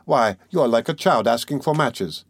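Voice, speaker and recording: A man's voice: medium pitch, lively intonation, normal speed, vocal fry, no room sound, studio quality. A man voicing a sly, falsely jovial middle-aged examining lawyer in an audiobook, teasing and ironical. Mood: playful, teasing. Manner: teasing, chuckling, wheedling, ironical. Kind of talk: dramatic audiobook character reading.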